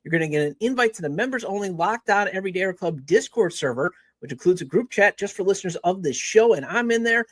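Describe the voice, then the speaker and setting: High-pitched man reading podcast promos. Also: animated, fast talker, few pauses, no echo. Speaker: podcast host. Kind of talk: scripted podcast sponsor and promo read.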